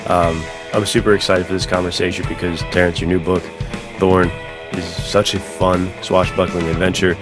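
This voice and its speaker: A man's voice: low voice, level tone, moderate pace, over music. A man hosting a podcast, chatting casually and with enthusiasm about books. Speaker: podcast host. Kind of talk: casual podcast interview chat.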